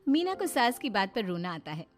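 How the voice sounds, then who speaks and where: A woman's voice: medium pitch, sing-song, normal speed, soft background music. A woman narrator reading a scripted moral story.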